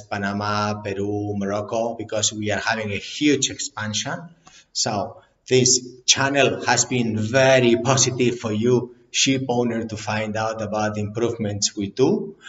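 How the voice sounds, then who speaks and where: Non-native male CEO, lowish voice, halting and repetitive, dull lo-fi audio. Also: a bit bright. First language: not English. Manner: halting, repeats words, plain blunt phrasing. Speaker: company CEO. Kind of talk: direct-to-camera business talk.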